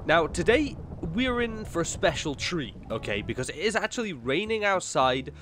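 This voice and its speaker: A man's voice: a little high, sing-song, normal speed, background noise. A young man with a slightly nasal voice from a cold, casually commentating a gameplay video and chatting to himself. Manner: chatty, jumpy, talks to himself and the game. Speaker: gaming YouTuber.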